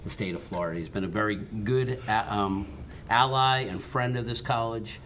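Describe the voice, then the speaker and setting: A man speaking as a college president at a board meeting, polite and slightly halting with many fillers. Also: on the low side, a bit bright, a little hiss or hum. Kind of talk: formal meeting remarks.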